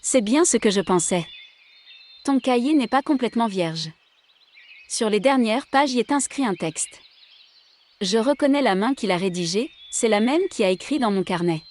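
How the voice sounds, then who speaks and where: A woman's voice: a little high, animated, moderate pace, a little mellow, slight background noise, close to an everyday voice. A woman playing an observant long-time captive and confidante in an audio drama. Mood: cheerful, curious.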